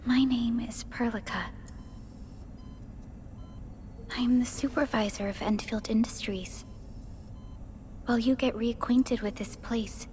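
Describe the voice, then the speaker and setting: A woman playing a calm, caring young supervisor in a video game, speaking gently and formally in a soft, breathy voice. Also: on the high side, big-room sound, a little hiss or hum, lightly acted. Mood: earnest, formal. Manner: gentle, measured, formal, reassuring. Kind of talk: scripted video game voice acting.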